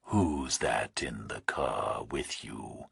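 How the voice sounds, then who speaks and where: Man putting on a slow, whispery, nightmarish kidnapper voice. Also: gravelly, slightly rough, no echo, studio quality, full character voice. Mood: calm menace. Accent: American. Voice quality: slow, deep, gritty, whispery. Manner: calm, in command. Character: menacing, patient kidnapper's huge distorted phone voice.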